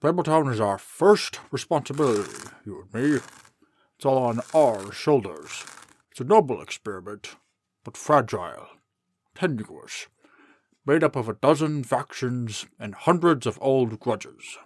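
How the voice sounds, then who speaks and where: A man's voice: mid-range voice, animated, a little slow, a little hiss or hum, natural voice. A man playing a fussy, blustering old king turned mayor in a comic dub, pompous and cajoling like a politician. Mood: pompous, pressing.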